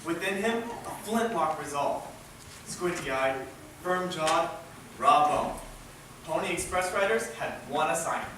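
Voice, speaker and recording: A woman's voice: on the low side, lively intonation, unhurried. A woman giving dramatic scripted narration in a readers' theatre group. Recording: echoey hall, distant mic, loud noise.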